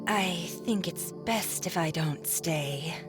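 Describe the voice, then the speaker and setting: A woman voicing a confused, wavering female Naga warrior in a halting, hesitant manner for an audiobook. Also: fairly low pitch, music in the background. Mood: subdued, shy. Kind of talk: audiobook character acting.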